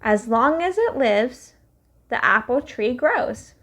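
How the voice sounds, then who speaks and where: Young woman reading picture books aloud for kids, sing-song and unhurried. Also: on the high side. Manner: slow, lively, sing-song storytelling. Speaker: children's storytime reader.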